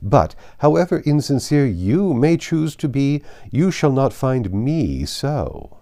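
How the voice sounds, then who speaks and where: A man voicing a haughty, domineering older aristocratic lady in an audiobook, with emphatic and deliberate delivery. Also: medium pitch, very animated, mellow, voice pushed up. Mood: stern indignation. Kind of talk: audiobook character voice.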